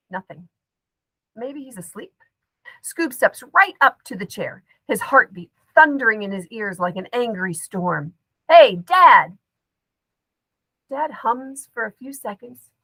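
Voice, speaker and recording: A woman's voice: on the high side, fairly bright tone, no room sound, low-quality recording. A woman narrating a children's story expressively from a script, acting out the drama as an audiobook reader. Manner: dramatic, acts out dialogue.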